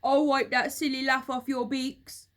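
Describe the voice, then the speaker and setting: Woman putting on a loud, furious, nasty man. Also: high-pitched, flat delivery, unhurried, voice pushed up. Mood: furious, threatening. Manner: shouting, barking orders. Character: furious nasty old man, bullying monkey trainer.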